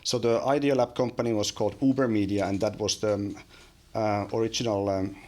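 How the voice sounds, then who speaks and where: Finnish man, low voice, halting. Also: steady tone, darkish tone. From Finland. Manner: hesitant, many fillers and restarts. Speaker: guest. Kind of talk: casual interview chat. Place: small bright studio room. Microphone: studio mic on boom arm.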